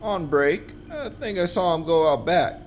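A man playing a friendly, hard-working shop employee with a gravelly voice in an audio drama. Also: on the high side, expressive, measured pace, a little hiss or hum. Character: friendly male shop worker Mister Newton.

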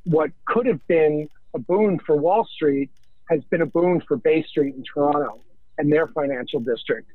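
Phone-line American man, measured and halting. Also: a little high, no echo. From New York, United States. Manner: measured, deliberate, halting phrasing with pauses. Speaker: interview guest, business executive. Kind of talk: interview answers on business and finance.

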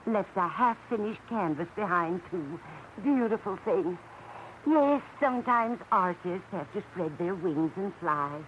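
An actress playing a chatty, kindly older landlady in a 1940s radio drama, with a musing, expressive manner. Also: on the low side, measured pace. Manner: musing, hesitant, expressive talk. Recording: muffled old radio recording, faint noise.

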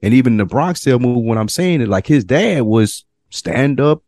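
A man co-hosting a podcast, chatting loosely in slang and working through his points with pauses and restarts. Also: mid-range voice, expressive, a little mellow, no room sound, studio quality. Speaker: podcast co-host. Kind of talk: casual chat.

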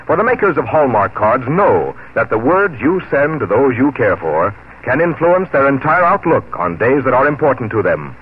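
Vintage radio spokesman: man, mid-pitched, measured and polished sponsor read, highly varied intonation, faint broadcast hiss. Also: phone-quality sound. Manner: polished, measured, emphatic phrasing. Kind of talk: scripted 1940s radio announcing. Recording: thin, noisy 1940s radio broadcast.

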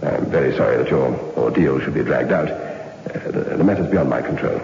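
An actor playing a stern prison governor, clipped and formal, in a 1960s radio drama. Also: male voice, very deep voice, moderate pace, creaky, darkish tone, roomy sound. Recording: dull old radio recording with faint hiss.

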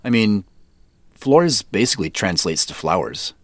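A man's voice: lowish voice, sing-song, a little slow, no room sound. A man acting as a guy on a family quest in an audio drama, in natural, conversational, slightly hesitant dialogue. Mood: pensive, intrigued. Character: Joseph, adult man on a mysterious family quest.

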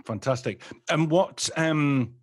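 A man's voice: a little high, slow pace. A man hosting a podcast, reading a polished, upbeat intro and then interviewing a guest. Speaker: podcast host and performance coach.

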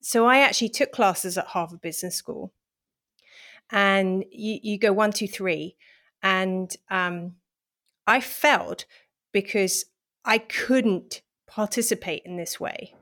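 A woman's voice: medium pitch, slow, a bit bright. A woman lecturer who reads a passage aloud, then answers interview questions in a thoughtful, hesitant way with many fillers and restarts. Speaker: university lecturer, interview guest.

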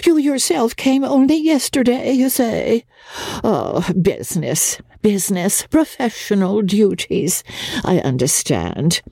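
A woman's voice: medium pitch, very animated, takes it slowly, vocal fry, mellow, dry recording, studio quality, voice pushed up, full character voice. A woman voicing an effusive, languishing society marchioness in a novel reading. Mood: gushing. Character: gushing, affected society marchioness, festooned and theatrical.

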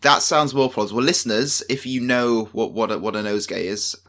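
A man with a Manchester accent chats as a podcast co-host, his voice bright and forward. He rambles and restarts often, and he pokes fun at himself. He also throws in wry asides. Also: mid-range voice, brisk. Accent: Manchester English. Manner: self-deprecating, rambling with restarts and laughs. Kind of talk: casual chat.

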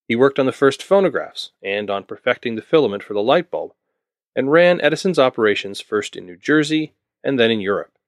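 A man's voice: mid-range voice, dry recording, studio quality. A man from Ontario narrating a scripted history podcast, with wry asides to the listener. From Ontario, Canada. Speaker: podcast host.

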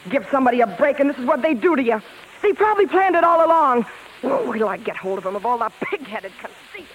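An American woman playing a bossy, quick-tempered, showy female vaudeville star in a 1950s radio drama, talking in brash, commanding slang. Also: sits high, animated, a little hiss or hum, low-quality recording. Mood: indignant. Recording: vintage 1950s radio broadcast with music.

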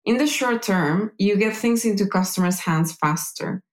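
A woman reading scripted narration in a measured, explanatory way, as an engineering manager presenting. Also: mid-range voice. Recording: music behind the voice. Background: music.

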